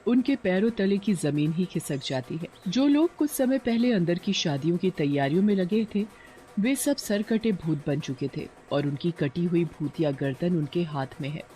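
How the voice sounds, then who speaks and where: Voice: medium pitch, lively intonation, moderate pace, warm tone. A narrator reading a scripted horror story in a dramatic storytelling style.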